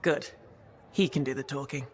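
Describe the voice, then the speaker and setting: An actress playing a sardonic, cheeky freelancer troublemaker in a video game, casual in manner. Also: female voice, rumbling low, steady tone, moderate pace, a little hiss or hum. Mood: sardonic, nervous. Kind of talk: game dialogue acting.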